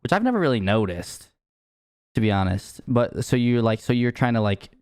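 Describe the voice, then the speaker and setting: A man's voice: mid-range voice, sing-song, normal speed, darkish tone, clear, resonant tone, dry recording, clean studio sound. A man co-hosting a podcast, asking questions in a rambling, casual way. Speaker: podcast co-host.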